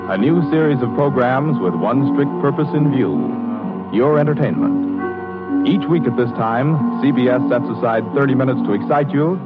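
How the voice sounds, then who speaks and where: A man announcing and narrating a radio thriller series in a formal, measured, dramatic 1940s broadcast style. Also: mid-range voice, animated, phone-quality sound. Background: loud dramatic music.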